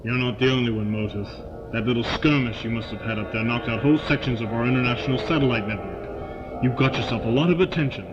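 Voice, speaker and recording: An actor playing a hawkish, plain-spoken military colonel in an audio drama, talking in a colloquial way. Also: male voice, medium pitch, quick pace, close to an everyday voice. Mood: wary, grim. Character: hawkish American military colonel, informal and bitter. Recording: dull lo-fi audio drama, loud music underneath.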